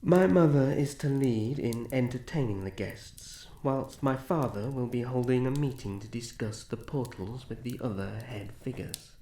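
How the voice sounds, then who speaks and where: A man playing a young elf prince in a fantasy ASMR roleplay, formal, soft-spoken, gentle and protective. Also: medium pitch, normal speed, few pauses, lightly acted. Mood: courteous, thoughtful. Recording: roomy echo, faint background noise.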